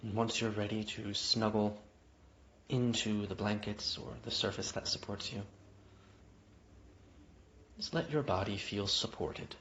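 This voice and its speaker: Low-voiced man guiding meditation, muffled recording. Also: steady tone, a little hiss or hum. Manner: gentle, unhurried phrasing with long pauses, invitational. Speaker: yoga nidra guide. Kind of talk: guided meditation narration. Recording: dull, muffled lo-fi audio.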